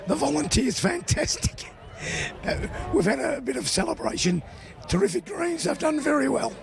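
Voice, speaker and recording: A hoarse man with a gravelly voice that jumps up and down in pitch. As a club president, he speaks in short, exuberant bursts. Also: very animated, moderate pace, crowd noise. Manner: exuberant, clipped phrases.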